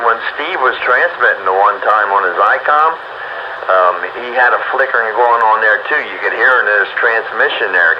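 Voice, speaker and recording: A man chatting casually over two-way radio, folksy and exclamatory. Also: mid-range voice. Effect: two-way radio transmission. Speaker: amateur radio net participant. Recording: muffled, noisy ham radio transmission.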